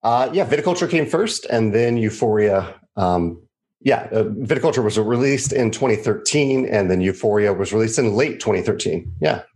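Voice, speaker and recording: A man's voice: lowish voice, expressive, moderate pace, darkish tone. An American man being interviewed over a video call, easygoing and laughing often. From United States. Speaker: guest, game designer. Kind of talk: casual interview chat.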